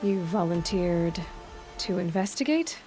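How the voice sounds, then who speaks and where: An actress playing a determined, guarded young hunter in a video game, speaking directly and firmly. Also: female voice, lowish voice, lively intonation, a little slow, mellow, faint music behind. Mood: wary, dry. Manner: direct, questioning, firm. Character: determined young female hunter, guarded outlander, blunt and impatient.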